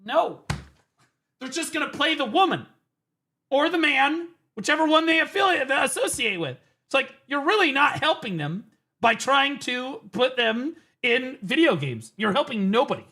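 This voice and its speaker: A man's voice: very high voice, very animated, normal speed, pushed and bright. A man streaming, reacting to clips with emphatic, profane, rambling commentary. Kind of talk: casual ranting commentary.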